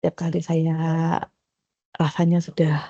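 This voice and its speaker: A woman, reflecting on her doctoral journey in a personal talk to a research group, with many pauses and fillers. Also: low voice, little pitch movement, warm tone, breathy edge, no echo. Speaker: doctoral graduate sharing her experience. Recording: dull lo-fi call audio.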